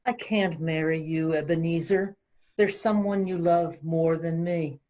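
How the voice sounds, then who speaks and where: A woman's voice: low-pitched, a little slow, dark tone. A woman playing a sorrowful, disappointed young sweetheart in an amateur table read. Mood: sad, reproachful.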